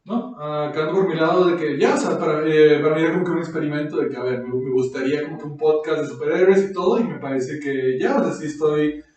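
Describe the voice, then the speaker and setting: A man co-hosts a casual podcast, thinking aloud in halting, reworked phrases. His voice is fairly high for a man and comes from far off the mic, with very heavy room echo. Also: a little mellow. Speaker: podcast co-host.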